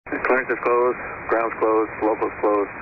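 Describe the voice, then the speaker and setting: A man's voice: lowish voice, level tone, measured pace, phone-quality sound. Male air traffic controller on noisy radio. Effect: radio transmission. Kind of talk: radio announcement to pilots.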